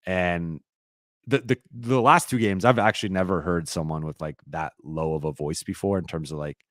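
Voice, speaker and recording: Man, very low voice, slightly raspy, rambling live-stream talk, dry close mic. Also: moderate pace, no echo. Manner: rambling, many fillers and restarts. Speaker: sports reporter hosting a live Q&A stream.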